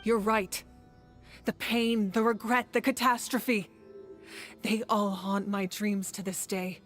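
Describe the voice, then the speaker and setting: Actress as determined young female ruler in a fantasy game, firm and earnest, medium pitch, music behind. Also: little pitch movement, slow, close to an everyday voice. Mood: somber. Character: resolute young female leader of a nation, compassionate. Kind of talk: scripted fantasy game acting.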